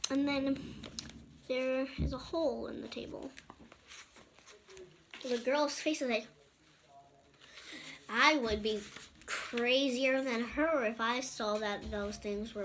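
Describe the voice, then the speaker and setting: High-voiced young girl reading a storybook. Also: fairly bright tone, a little hiss or hum. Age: child. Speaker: storybook reader and channel presenter. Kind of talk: reading a picture book aloud with informal asides to young viewers.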